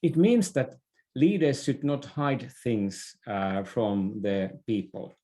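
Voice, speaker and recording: A man's voice: mid-range voice, sing-song, takes it slowly, warm tone. An older man giving thoughtful interview answers, often repeating a word as he searches for phrasing. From Finland. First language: Finnish. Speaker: interview guest, business leader and former theatre director.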